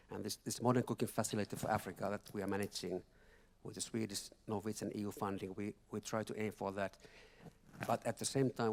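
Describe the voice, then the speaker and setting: A man speaking as a panelist at a conference workshop, talking haltingly with many repeated words and restarts. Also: lowish voice, gravelly, darkish tone. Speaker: panelist from a finance institution. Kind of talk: panel discussion remarks. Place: conference hall.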